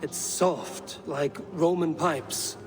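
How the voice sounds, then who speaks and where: A fearless Viking clan leader who speaks steadily, formally and with measure. His voice sits slightly high for a man. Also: fairly even intonation, very slow, in a large hall. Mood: steady. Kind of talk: video game cutscene acting.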